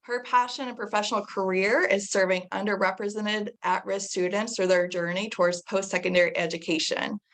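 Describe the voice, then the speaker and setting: Woman moderating a video call webinar, medium voice, reads the speaker intro. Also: medium pitch.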